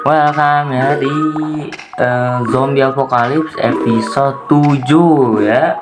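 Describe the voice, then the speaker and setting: A man with an Indonesian accent casually introducing a gaming video, halting and repeating himself informally. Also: mid-range voice, fairly even intonation. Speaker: video series host. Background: loud music.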